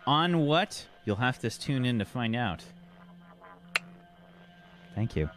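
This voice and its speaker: A man's voice: mid-range voice, animated, takes it slowly, over music. A man as game master, rambling through a casual stream wrap-up with many ums and thanks.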